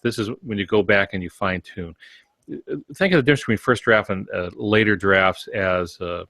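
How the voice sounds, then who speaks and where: Older American male co-host, lowish pitch, measured explanatory talk, close dry recording. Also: fairly bright tone, no room sound. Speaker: podcast co-host. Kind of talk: conversational writing advice.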